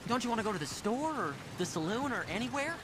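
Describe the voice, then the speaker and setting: A man's voice: very high-pitched, sing-song, fast talker. A young male actor playing a polite, reluctant, bookish boy, a rancher's son in a western video game, speaking meekly. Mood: complaining. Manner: meek, polite. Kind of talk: video game character acting. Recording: game dialogue over wagon noise.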